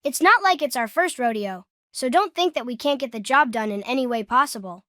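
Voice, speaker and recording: A woman's voice: high voice, lively intonation, a little fast, fairly bright tone, no echo, studio quality. A woman voicing a self-assured, friendly kid with an easygoing manner in a cartoon-style comic dub. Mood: defiant. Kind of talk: comic dub character acting.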